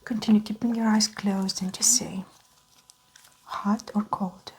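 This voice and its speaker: Female ASMR performer as examining doctor: soft, half-whispered, mid-pitched delivery of gentle instructions, with noise and clicks in the recording. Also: fairly even intonation, unhurried, low-quality recording. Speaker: ASMR performer playing a doctor in a medical exam roleplay. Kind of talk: soft-spoken ASMR roleplay.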